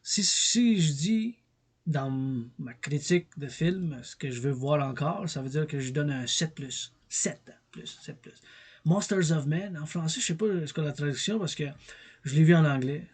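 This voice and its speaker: A man's voice: a little high, moderate pace, darkish tone, slightly rough. A middle-aged man with a Québécois French accent, talking through a rambling, digressive film review with many fillers and repeated words. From Quebec. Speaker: vlogger reviewing films.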